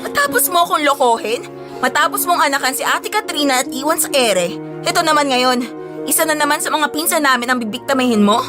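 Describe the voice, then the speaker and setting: Outraged high-voiced jilted ex. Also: female voice, animated, brisk, over music. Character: outraged betrayed ex-girlfriend. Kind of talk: audio drama dialogue.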